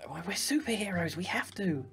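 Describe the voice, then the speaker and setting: A man's voice: sits high, lively intonation, brisk, gravelly, fairly bright tone, breathy edge, soft background music, full character voice. A man playing an earnest, protective young superhero father in a hesitant, improvised game roleplay. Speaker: host.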